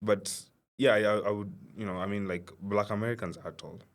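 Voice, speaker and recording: A man's voice: on the low side, steady tone. A young Kenyan man co-hosting a comedy podcast in casual chat, easily amused and poking fun at himself. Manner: self-deprecating, quick to laugh. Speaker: podcast co-host.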